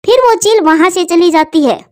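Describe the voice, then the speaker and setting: A woman's voice: very high voice, normal speed. A woman reading a scripted children's story as its narrator.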